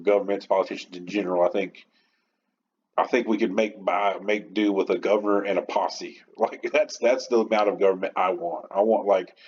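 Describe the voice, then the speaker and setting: A man's voice: deep voice, a little mellow. A man hosting a podcast, talking in an informal, passionate, rambling monologue without a script. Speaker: podcast host. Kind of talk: unscripted rant-style podcast monologue. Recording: dull lo-fi audio with clear background noise.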